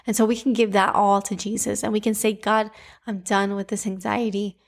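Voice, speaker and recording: A woman's voice: medium pitch, little pitch movement, moderate pace. A young woman with a Cuban accent hosting a devotional podcast, teaching scripture and leading prayer in an earnest, emphatic way.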